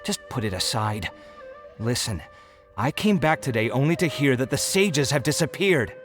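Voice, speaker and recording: A man's voice: medium pitch, big pitch swings, normal speed, soft background music, natural voice. An actor playing a proud, easily riled young male architect in a video game, theatrical and exasperated. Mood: irritated, demanding.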